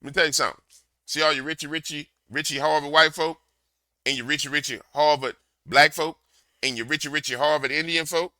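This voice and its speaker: A man with a big booming voice doing a slangy, heated reaction rant into a desk microphone. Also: fairly even intonation, fairly quick, no echo, clean studio sound. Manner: loud, slangy, repetitive, heated. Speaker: commentator reacting to a clip. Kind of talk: ranting reaction commentary.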